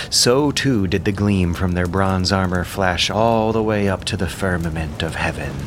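Male narrator for epic audio drama: deep, oratorical, solemn, loud score underneath. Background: loud background music.

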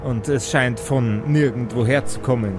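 A man's voice: mid-range voice, music in the background, natural voice. Man playing a stammering, flustered village mayor. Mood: flustered, hesitant. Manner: stammering, full of drawn-out hesitations. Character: flustered, formal village mayor, halting and polite. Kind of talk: improvised tabletop audio play.

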